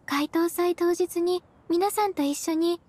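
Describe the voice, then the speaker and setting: A high, soft-spoken young woman, modest and very polite. Her anime game delivery is formal and a little hesitant. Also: unhurried, no room sound. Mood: earnest, modest. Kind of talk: Japanese anime-style game voice acting.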